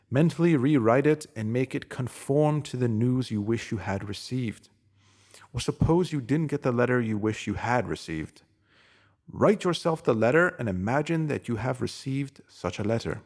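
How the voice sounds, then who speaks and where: Man earnestly reading a motivational spiritual essay. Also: medium pitch. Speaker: narrator.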